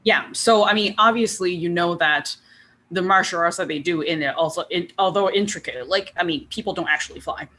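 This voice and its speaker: Bright-voiced author from Taiwan, hedging. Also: female voice, on the low side. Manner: thoughtful, hedging, measured with frequent ums. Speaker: author guest. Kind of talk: casual conversational interview.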